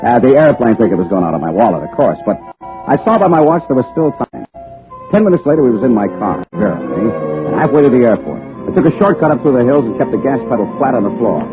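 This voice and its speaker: A man's voice: mid-range voice, big pitch swings, quick pace, close to an everyday voice. Actor as a slangy tough guy on tinny old radio. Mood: wry narrating. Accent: American. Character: cocky, scheming small-time American wise guy, tough and slangy. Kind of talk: 1940s-50s American radio drama acting, hardboiled first-person narration. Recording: tinny band-limited vintage radio recording with music.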